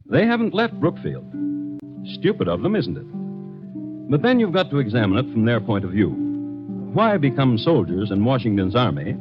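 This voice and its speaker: A man playing a dry, wry host narrating a 1950s radio crime series in a deliberate, scripted way. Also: mid-range voice, big pitch swings, music in the background, lightly acted. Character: wry male host of true crime tales. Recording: muffled 1950s radio broadcast.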